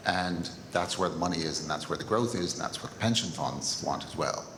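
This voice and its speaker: A man's voice: low-pitched, a little fast, a bit bright. A man in the audience asking a pointed question at a live event, laying out his argument directly. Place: large lecture hall. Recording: echoey hall with faint noise.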